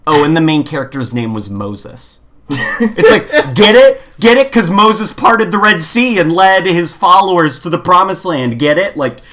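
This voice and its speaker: A man co-hosting a casual podcast, rambling through jokey, animated stories and asides. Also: high voice, a little slow. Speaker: podcast co-host.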